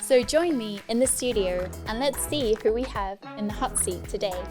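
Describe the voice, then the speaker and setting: A woman's voice: a little high, lively intonation, moderate pace, music in the background. A woman hosting a podcast interview in a studio, talking in an upbeat, encouraging way. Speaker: podcast host.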